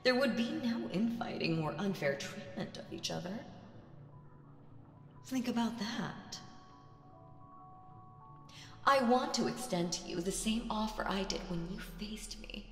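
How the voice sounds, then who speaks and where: Slow, commanding demon queen villainess, played by a woman. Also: mid-range voice, animated, faint music behind. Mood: proud, persuasive. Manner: theatrical, commanding, slow and deliberate with teasing menace. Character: seductive, domineering demon queen villainess, reborn and gloating. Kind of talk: dramatic audio roleplay addressed to the listener.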